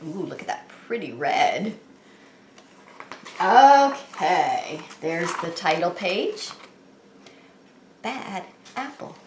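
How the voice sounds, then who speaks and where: Woman, preschool story time host, slow pace, very lively intonation, simple child-directed speech, echoey room. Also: fairly low pitch, a little hiss or hum. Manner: simple, exaggerated talk to small children, with frequent questions. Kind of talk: children's read-aloud and singalong. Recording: roomy, echoey room sound.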